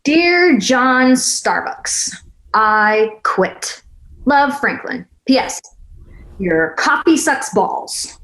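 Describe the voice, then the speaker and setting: A woman's voice: high voice, lively intonation, extremely slow, a bit bright, exaggerated voice, poor audio. A woman playing a blunt, teasing, eager young girlfriend with a brash manner in a screenplay table read. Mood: exasperated, goading. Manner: brash, foul-mouthed banter.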